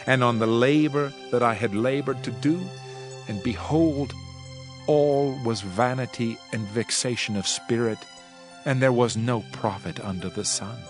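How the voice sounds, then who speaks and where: An actor playing a world-weary preacher king in a dramatized scripture reading, solemn, measured and oratorical. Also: mid-range voice, close to an everyday voice. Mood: reflective, rueful. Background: background music.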